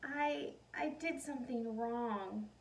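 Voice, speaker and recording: Lady doing a worried little storybook girl, kinda high, dramatic n guilty, sounds far from the mic. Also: takes it slowly, gravelly, slight background noise. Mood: guilty, upset. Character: anxious, guilt-stricken young girl. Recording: roomy echo, distant mic.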